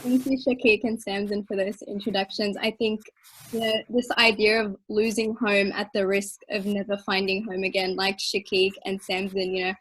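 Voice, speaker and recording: A woman moderating a panel, speaking in a measured, articulate way. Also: medium pitch, breathy edge, rough recording. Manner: measured, articulate, even delivery. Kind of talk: reflective panel talk.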